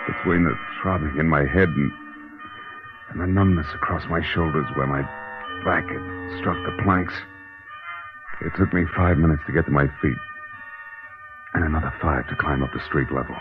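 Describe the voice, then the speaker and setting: Casting: tough American gumshoe, very low voice, wry and clipped, old radio drama with music, muffled sound. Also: male voice, moderate pace, long pauses. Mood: tense, wry. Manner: hardboiled, clipped. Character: tough, weary, wisecracking male private detective. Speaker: lead actor and narrator of a detective radio drama. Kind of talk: 1940s hardboiled radio detective acting.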